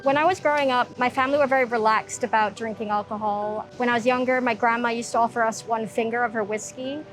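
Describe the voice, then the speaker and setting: Young woman from Hong Kong, soft-spoken, reflective, slightly high voice, faint music under her. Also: steady tone, rough recording. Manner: soft-spoken, reflective, frequent ums and pauses. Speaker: interviewee sharing her recovery story. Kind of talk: personal testimony. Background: soft background music.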